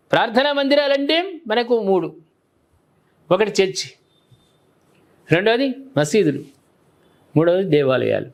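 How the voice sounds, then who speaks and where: A man's voice: very high voice, a bit bright. A man with a Telangana Telugu accent presenting opinionated news commentary to viewers in a colloquial, emphatic way, often putting questions to them.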